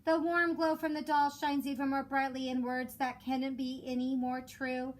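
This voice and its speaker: A woman who teaches elementary school reads aloud expressively for children in a measured storytelling way. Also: high-pitched, level tone. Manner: measured storytelling with rhymed cadence. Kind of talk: expressive children's picture book read-aloud. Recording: clear background noise.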